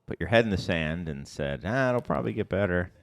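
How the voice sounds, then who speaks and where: A man's voice: sits low, lively intonation, brisk, vocal fry. A middle-aged man co-hosting a podcast, chatting casually and rambling with ums and restarts. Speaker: podcast co-host. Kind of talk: casual chat. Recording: reverb on the voice.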